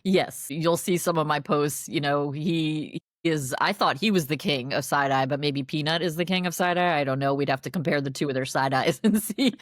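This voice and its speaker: A woman guest in a podcast interview, upbeat and expressive, with frequent restarts and laughs. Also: deep voice, normal speed, hardly any pauses, no room sound, clean studio sound. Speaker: guest, dog meetup organizer. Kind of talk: casual interview chat.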